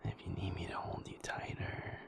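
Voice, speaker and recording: A man playing a tender, protective werewolf partner in an intimate ASMR roleplay, speaking gently and haltingly in a breathy, gravelly near-whisper. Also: natural voice. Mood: soft, drowsy. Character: tender, protective male werewolf partner comforting a sleepless lover.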